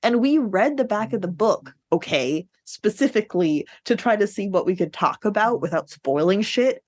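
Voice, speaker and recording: A woman's voice: medium pitch, expressive, moderate pace, darkish tone, no room sound. A woman podcast host in a casual book discussion, speaking in a blunt, informal, sweary way.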